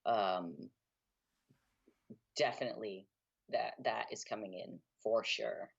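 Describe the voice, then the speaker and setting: A young man talks candidly about himself over a muffled, lo-fi call line. He is frank and easygoing, quick to poke fun at himself, like a guest relaxed in a casual chat. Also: fairly low pitch, gravelly, breathy edge. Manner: frank and open, laughs easily, self-deprecating. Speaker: guest, guitarist and guitar teacher. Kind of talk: candid casual interview talk.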